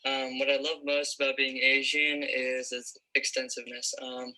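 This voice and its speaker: A man's voice: mid-range voice, level tone, normal speed, pushed and bright, poor audio. A young man giving a casual personal testimonial into a phone indoors. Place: indoors at home.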